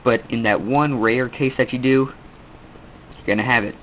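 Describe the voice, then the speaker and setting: A man's voice: medium pitch, steady tone. A teenage boy with a stuffed-up nose, casually showing his kit and rambling with lots of fillers. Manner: rambling, halting, many fillers. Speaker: video host. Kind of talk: casual gear show-and-tell. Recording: dull lo-fi audio, faint room noise.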